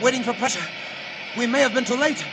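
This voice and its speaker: Grave alien scientist, high urgent voice. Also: male voice, fast talker, creaky. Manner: declamatory, clipped urgent phrases. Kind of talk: 1940s radio acting. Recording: very noisy vintage 1940s radio recording.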